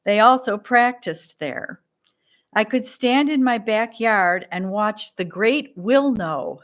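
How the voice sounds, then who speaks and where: A woman leading the service, introducing the speaker and the offertory in an unhurried manner. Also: medium pitch. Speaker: worship leader.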